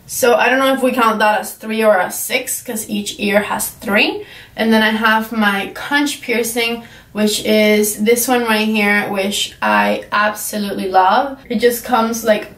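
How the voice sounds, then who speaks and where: Brief: young Cuban-accented woman vlogger, chatty and rambling, voice a bit high, recorded at a distance from the mic. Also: a bit bright. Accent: Cuban Spanish. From Cuba. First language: Spanish. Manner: chatty, rambling, many fillers like "um" and "like". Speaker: beauty vlogger. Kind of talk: casual chat to camera. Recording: echoey room, mic at a distance.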